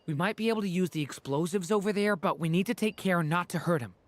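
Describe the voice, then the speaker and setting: An actor voicing an earnest, determined young adventurer in a video game, with an expressive delivery. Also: mid-range voice, quick pace, natural voice. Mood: careful resolve. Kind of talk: dramatic video game voice acting. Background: faint game music.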